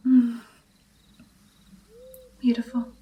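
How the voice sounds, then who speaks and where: A woman playing a doting, playful female vampire mentor in an ASMR roleplay, speaking softly and breathily, often in a whisper, coaxing and encouraging. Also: on the high side, completely flat delivery, lo-fi sound. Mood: encouraging, admiring. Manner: slow, coaxing, encouraging, lingering over phrases. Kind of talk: ASMR roleplay, personal attention.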